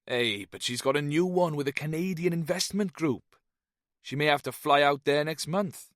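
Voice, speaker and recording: A man's voice: fairly high pitch, a bit bright, no echo, clean studio sound, voice pushed up, natural voice. A man putting on a boozy lilting Welshman. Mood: jovial. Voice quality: lilting and slow. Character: boozy fifty-something Welsh teacher, jovial leering.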